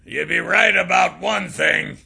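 Brenoir, a man playing a gruff dwarf warrior. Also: high-pitched, measured pace, pitched above the performer's own voice, heavily acted. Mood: defiant. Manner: gruff, shouting.

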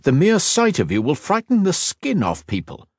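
Man acting a kindly, insistent healer, pitched up. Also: wildly swooping pitch, normal speed, no echo, clean studio sound, heavily acted. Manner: gentle but insistent. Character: kindly, balding male healer with firm authority.

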